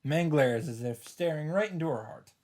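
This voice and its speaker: A man's voice: on the high side, animated, normal speed, darkish tone. A man streaming a visual novel, reading the narration aloud and adding casual asides of his own.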